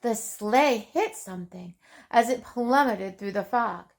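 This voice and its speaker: Woman narrating a storybook slowly. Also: fairly high pitch, gravelly. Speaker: storybook reader. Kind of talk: children's story read aloud.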